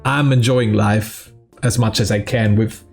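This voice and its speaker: A man's voice: lowish voice, steady tone, a little slow, slightly rough, faint music behind. A young man from South Tyrol with a German accent, a streamer casually answering fan questions, swearing and laughing between answers. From South Tyrol, Italy. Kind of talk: casual Q&A vlog.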